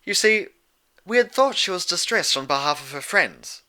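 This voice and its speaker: A man voicing a proud, sharp-tongued younger-sister pony princess of the moon, speaking in formal, old-fashioned phrases for a storybook reading. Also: high voice, animated, normal speed, voice pushed up, full character voice. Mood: dry. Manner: formal, archaic, challenging phrasing.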